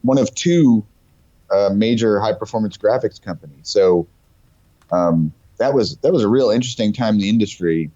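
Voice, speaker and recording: A man's voice: fairly low pitch, moderate pace, mellow, no room sound. A man with a cold, chatting casually and enthusiastically about technology as an invited guest. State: has a cold. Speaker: guest company representative. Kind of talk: casual tech discussion. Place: remote call over the internet. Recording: muffled, dull call audio.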